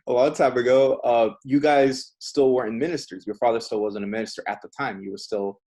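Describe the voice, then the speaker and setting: A man's voice: medium pitch, steady tone, normal speed, darkish tone. Casual male host, friendly and informal. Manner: friendly and informal, calls guest bro and man. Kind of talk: casual interview chat.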